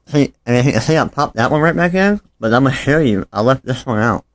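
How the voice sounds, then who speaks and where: A man's voice: mid-range voice, lively intonation, fast talker, no echo. A man talking informally and a bit apologetically through a hands-on phone repair tutorial as he works. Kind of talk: casual hands-on how-to narration.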